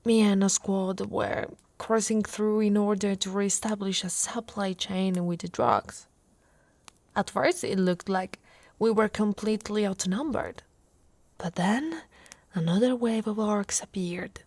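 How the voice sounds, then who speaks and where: A woman's voice: medium pitch, a little slow, a little mellow, dry recording, natural voice. A woman from Italy voicing an affectionate, playfully boastful orc warrior wife in an intimate, teasing ASMR roleplay, often dropping to a whisper. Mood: nostalgic.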